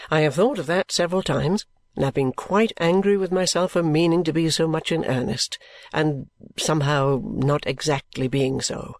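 A woman voicing a careless, easygoing and affectionate young gentleman in an audiobook, with a breezy, musing manner. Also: deep voice, normal speed, no room sound, pitched below the performer's own voice. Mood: earnest, vexed. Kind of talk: audiobook character dialogue.

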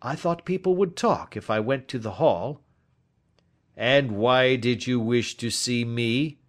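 A man voicing a weary, bitter, dying old man in an audiobook, speaking with a heavy, emphatic, drawn-out manner. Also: mid-range voice, voice pushed up, lightly acted. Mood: guarded. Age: older. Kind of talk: audiobook character acting.

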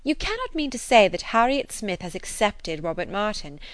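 A woman reading the lines of a lively young heroine in an audiobook, quick and eager, full of exclamations. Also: medium pitch, dry recording, close to an everyday voice. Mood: astonished.

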